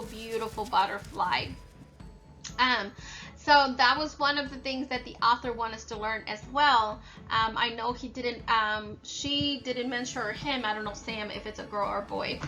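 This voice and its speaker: A woman's voice: a little high, bright, forceful tone. A woman reading a children's story aloud and explaining it like a teacher.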